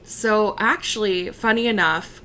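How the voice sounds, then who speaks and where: Slow, bright-toned young woman chatting. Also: medium pitch, slight background noise. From United States. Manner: relaxed and chatty, many fillers, laughs easily. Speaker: interview guest, opera singer. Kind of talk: casual conversational interview.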